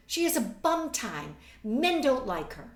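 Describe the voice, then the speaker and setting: A woman's voice: high-pitched, big pitch swings, a little slow, pitched above the performer's own voice, full character voice. A woman voicing an exasperated, catty eighteen-year-old society girl in a storybook reading, emphatic and scornful. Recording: roomy, distant webcam microphone.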